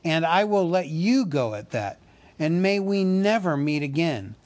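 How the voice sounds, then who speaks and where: A man voicing a wrathful dwarf king, proud, commanding, shouting, storybook reading. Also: fairly high pitch, expressive, a little slow, voice pushed up. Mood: grim.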